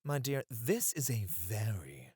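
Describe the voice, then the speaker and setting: Man acting a flirty, theatrical bunny-club host, very animated. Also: lowish voice, a little slow, breathy edge, no echo, clean studio sound, heavily acted. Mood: delighted, gushing. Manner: theatrical, coy, effusive. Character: teasing, dramatic, flirty bunny-club host Roy, male. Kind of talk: flirty ASMR roleplay acting.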